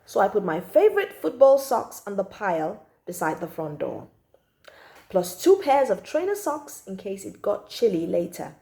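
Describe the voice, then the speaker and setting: A woman reading a children's story aloud in an expressive, emphatic storytelling style. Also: mid-range voice, normal speed, vocal fry. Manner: animated, emphatic, acts out the child narrator's feelings. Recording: echoey room.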